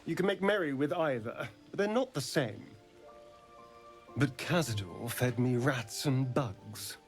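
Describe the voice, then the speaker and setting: A man acts out a sardonic male vampire from a fantasy game. He is theatrical and power-hungry, lingering over words and swooping widely in pitch. Soft music plays behind him. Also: expressive, natural voice. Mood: wry, brooding. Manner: theatrical, teasing, drawn-out delivery. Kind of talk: dramatic video game acting.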